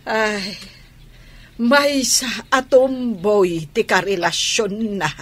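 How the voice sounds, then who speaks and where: A woman playing an anxious, dismayed mother in an Ilocano radio drama, husky and lamenting. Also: mid-range voice, very slow. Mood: anxious, hesitant. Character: anxious, dismayed mother fretting over her child.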